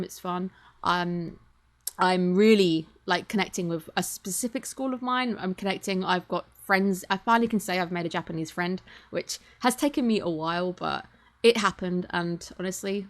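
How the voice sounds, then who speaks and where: A British woman in her twenties, chatting casually and in a rambling, self-deprecating way as she thanks her channel's viewers. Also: mid-range voice. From Britain. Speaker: channel host. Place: home streaming setup. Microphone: computer or webcam mic.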